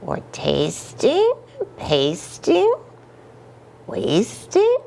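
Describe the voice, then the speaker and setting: A woman voicing an excitable little boy kitten from a storybook, playful and theatrical. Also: on the low side, extremely slow, vocal fry, heavily acted. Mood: cheeky.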